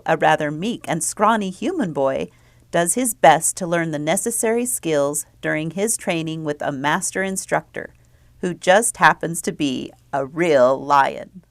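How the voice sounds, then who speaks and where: A woman's voice: fairly low pitch, no echo. Woman reading a script, close and dry. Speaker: library presenter. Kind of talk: scripted announcement and book reviews.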